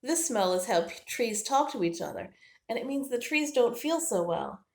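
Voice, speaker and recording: A woman's voice: a little high, animated, moderate pace, a little mellow, voice pushed up. A woman voicing a kindly, protective man in a children's storybook, patiently explaining and reassuring. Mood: gently explaining. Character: kindly man, gentle, protective nature guide to a squirrel. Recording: roomy, echoing indoor sound.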